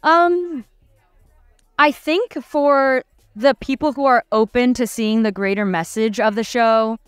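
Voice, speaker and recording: A young woman in her twenties, an actor, answering red-carpet questions thoughtfully with many pauses, fillers and restarts, into a handheld mic. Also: a little high, clear, resonant tone, no room sound, studio quality. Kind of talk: casual red-carpet interview. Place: busy premiere red carpet, indoors. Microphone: handheld mic held by interviewer.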